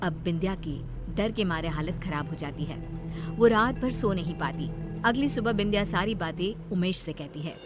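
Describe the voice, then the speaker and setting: A woman's voice: lowish voice, moderate pace. Female story narrator over music. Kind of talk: scripted narration of an animated story.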